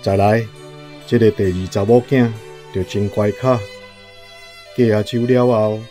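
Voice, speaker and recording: An actor with a Taiwanese accent playing a resigned, worried older father in a radio drama, speaking in a measured way. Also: on the low side, fairly even intonation, dark tone, faint music behind, lightly acted.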